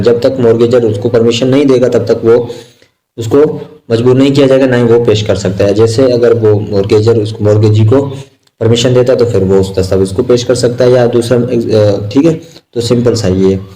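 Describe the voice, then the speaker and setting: A man with a Pakistani accent lecturing on exam material, explaining step by step in a halting, repetitive way with simple examples. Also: medium pitch, dark tone, low-quality recording. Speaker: law lecturer.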